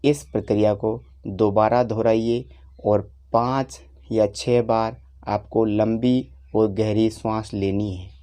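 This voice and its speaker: A man leading a guided meditation, giving unhurried instructions in short phrases with long pauses. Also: fairly low pitch, fairly even intonation, warm tone. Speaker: meditation teacher.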